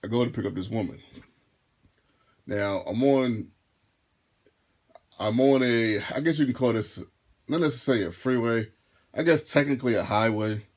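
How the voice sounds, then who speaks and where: A deep-voiced man in his thirties telling a casual storytime to his viewers, rambling and restarting his phrases as he goes. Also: normal speed, long pauses. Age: 30s. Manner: laid-back, rambling, repeats and restarts phrases. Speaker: vlogger and rideshare driver.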